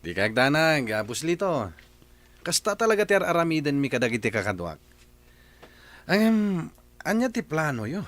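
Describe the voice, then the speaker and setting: A man's voice: on the high side, very animated, normal speed, long pauses, a bit bright, dry recording. A man voicing a henchman who speaks to his boss in a hesitant, deferential way in a radio drama. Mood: uneasy. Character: uneasy henchman, kidnapper's helper.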